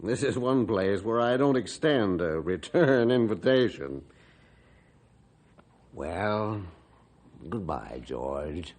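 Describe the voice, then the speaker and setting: An avuncular male principal in a vintage radio play. His low voice rises and falls freely as he speaks in a measured, encouraging, fatherly way. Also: expressive, darkish tone. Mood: kindly, encouraging. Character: kindly fatherly reform school principal. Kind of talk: old-time radio acting.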